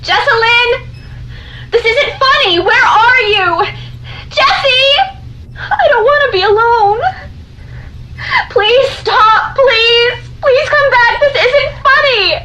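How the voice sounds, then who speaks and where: High-pitched, jumpy young woman, echoey. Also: takes it slowly, long pauses, pushed and bright, full character voice. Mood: frightened, pleading. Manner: melodramatic, joking nervously. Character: frightened, melodramatic young woman, chatty and jumpy. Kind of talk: video game voice acting. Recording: roomy echo with background ambient noise.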